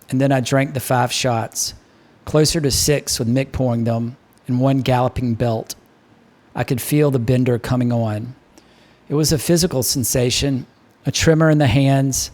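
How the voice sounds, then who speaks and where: A man's voice: medium pitch, a little mellow. A man narrating a first-person novel as an audiobook reader.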